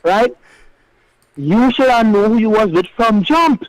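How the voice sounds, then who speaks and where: A man with a Trinidadian accent and a loud, big voice, talking emphatically in a casual radio chat as co-host. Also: high voice, expressive, measured pace, no echo, low-quality recording. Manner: emphatic, insistent.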